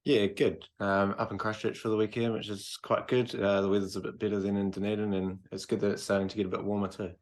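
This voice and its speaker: NZ male co-host, deep and even, thoughtful and filler-heavy. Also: fast, few pauses. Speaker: co-host, coach. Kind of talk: casual podcast chat.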